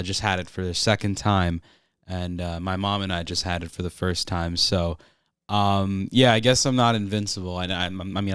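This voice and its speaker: A young man hosting his own podcast in a relaxed, rambling way, a little congested, with lots of "uh" fillers. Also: lowish voice, smooth, no room sound, studio quality. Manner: relaxed, filler-heavy, frequent "uh". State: congested from recent illness. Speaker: podcast host. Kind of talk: casual solo podcast review.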